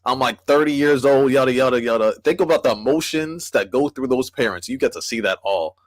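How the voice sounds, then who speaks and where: A man's voice: medium pitch, fairly quick, no echo. A man co-hosting a podcast, talking enthusiastically and rambling with many "like"s. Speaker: podcast co-host. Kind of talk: casual chat.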